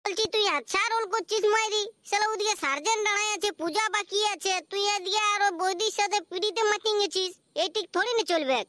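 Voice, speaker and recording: A man voicing an impatient, scolding young village buddy in a comedy cartoon, with a Purulia Bengali accent and a pitched-up voice. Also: quick pace, hardly any pauses, sharp tone. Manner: brash, scolding, slangy.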